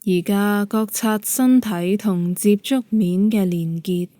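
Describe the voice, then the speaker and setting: Hong kong cantonese lady doing guided meditation, really slow n soft-edged, mellow, dry close sound. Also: medium pitch, no room sound. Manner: calm, gentle, measured instruction. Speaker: yoga nidra meditation guide.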